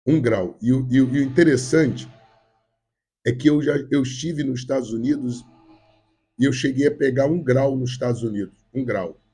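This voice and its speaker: A man's voice: medium pitch, moderate pace, mellow, slightly rough. A man from Brazil with a Rio de Janeiro accent preaching a sermon to a church, repeating and stressing his points, currently getting over a cold. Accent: Brazilian Portuguese, Rio de Janeiro. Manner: repetitive, emphatic preaching with direct address to the congregation. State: has a cold. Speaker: pastor.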